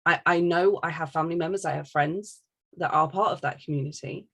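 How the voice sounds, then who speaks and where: Low-pitched woman with a creaky edge. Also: fairly quick, fairly bright tone. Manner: emphatic, candid, swears casually. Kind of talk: casual opinionated discussion.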